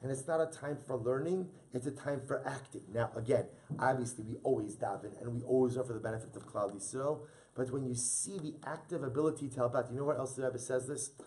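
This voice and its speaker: A man's voice: medium pitch, quick pace, darkish tone, slightly rough. A man teaching a Torah class as a rabbi. He reads Hebrew source text aloud, explains it in English, and repeats his key points for emphasis.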